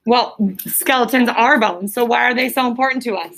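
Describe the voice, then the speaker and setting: A woman with a fairly high, very bright and forceful voice leads a classroom lesson as a schoolteacher. She prompts with questions, repeats them for emphasis and checks in with short tags. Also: brisk. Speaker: elementary school teacher. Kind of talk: classroom question-and-answer teaching. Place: classroom with pupils.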